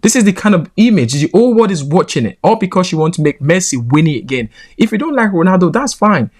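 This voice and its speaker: A man's voice: fairly high pitch, a little mellow. A man with a Nigerian accent giving heated football reaction commentary, indignant and emphatic. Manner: indignant, rising into emphatic rants.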